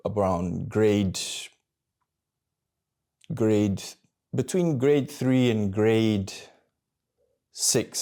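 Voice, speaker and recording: A man in his thirties from Malaysia, talking candidly to camera about his own life, halting and searching for words as he goes. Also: fairly low pitch, warm tone. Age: 30s. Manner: candid and introspective. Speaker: vlogger. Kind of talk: personal reflective monologue.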